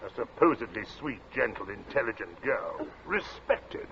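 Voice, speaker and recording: Middle-aged English patriarch, snobbish, biting, animated pitch, 1940s radio drama, muffled recording. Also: male voice, normal speed, heavy background noise. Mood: scornful, urgent. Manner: commanding, biting, emphatic.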